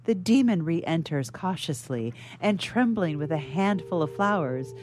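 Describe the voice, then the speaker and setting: Lower-voiced woman narrating a radio play. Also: lively intonation, darkish tone. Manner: measured, formal reading. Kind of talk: scripted narration of stage directions.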